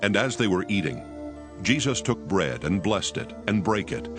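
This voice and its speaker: Very deep male scripture narrator. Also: big pitch swings, normal speed. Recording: voice over prominent music.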